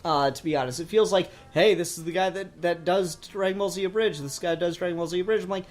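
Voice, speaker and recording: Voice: mid-range voice, fast, faint music behind. A podcast host in a casual discussion, talking in a rambling, hesitant way. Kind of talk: casual discussion of comics and anime.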